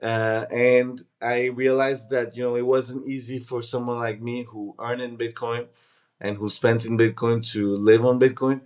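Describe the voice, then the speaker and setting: A man's voice: medium pitch, flat delivery, a little slow, darkish tone. A man in his twenties, born in Peru and raised in Canada, talking casually as a startup founder being interviewed. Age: 20s.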